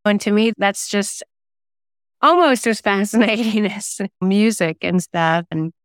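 A woman's voice: mid-range voice, a little slow, clear, resonant tone, no echo, clean studio sound. A woman talking reflectively as an interview guest, thoughtfully telling personal anecdotes about creative work. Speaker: singer-songwriter and novelist guest; former radio DJ.

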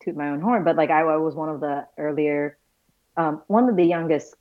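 A woman's voice: low voice, brisk. A woman in her thirties from Malaysia, a guest talking casually and thoughtfully about her path, with self-deprecating asides. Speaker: guest, visual storyteller. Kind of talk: casual conversational interview. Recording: dull lo-fi sound.

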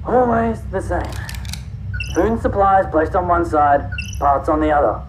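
A man's voice: high voice, expressive, measured pace, background noise. A man playing a brusque, world-weary guard in a sci-fi audio drama, with an offhand, slangy, commanding way of talking. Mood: offhand, matter-of-fact.

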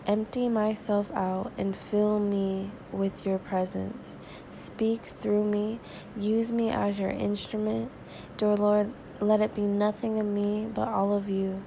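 Woman podcast preacher, stuffy and raspy from crying; gravelly, slow, earnest delivery. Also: medium pitch, warm tone, slight background noise, poor audio. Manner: earnest, conversational, slow and prayerful. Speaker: devotional podcast host. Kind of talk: informal devotional talk and prayer.

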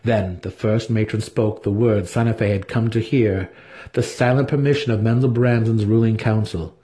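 A man's voice: on the low side, fairly even intonation, moderate pace, dark tone. A man narrating a fantasy novel as a dramatic audiobook reading.

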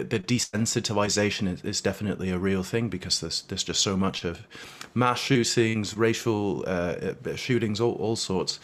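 A man from Argentina, a guest in an interview, answering in a measured, reflective way with frequent hesitations. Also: on the low side, fairly bright tone.

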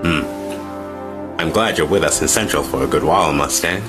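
An older anime military ruler, played by a man, with a very deep voice. He is genial yet commanding, measured and formal, with music underneath. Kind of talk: anime fan dub acting.

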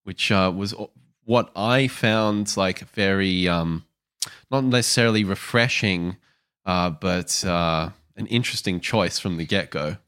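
A man's voice: low-pitched, measured pace, fairly bright tone, clean tone. A man co-hosting a casual film review podcast, talking analytically and feeling his way to his phrasing.

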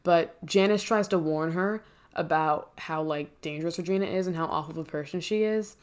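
Low-voiced chatty woman. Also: normal speed, clear, resonant tone. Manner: chatty, many "like" fillers and self-corrections. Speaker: musical theater fan recapping a show. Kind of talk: casual spoken recap.

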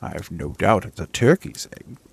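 A man's voice: deep voice, lively intonation, normal speed, gravelly, noisy background. A man doing a bossy old duck. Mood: knowing.

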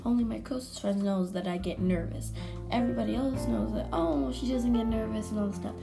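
Hoarse young woman podcast host, chatty. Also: medium pitch, a little fast, music in the background. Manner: rambling, self-correcting, chatty. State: hoarse from allergies. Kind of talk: casual solo podcast chat.

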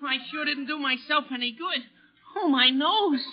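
An excitable teenage son, very high for a male voice and full of whiny melodrama. The recording is a dull old radio comedy from the 1940s. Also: moderate pace. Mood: whining, indignant. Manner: whining, melodramatic.

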